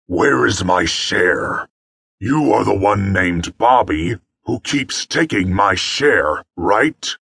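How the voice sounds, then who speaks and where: A man voicing a sly male lion who talks in a low, slow, husky voice and demands his share. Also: expressive, bright, forceful tone, dry recording, studio quality, exaggerated voice. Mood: demanding.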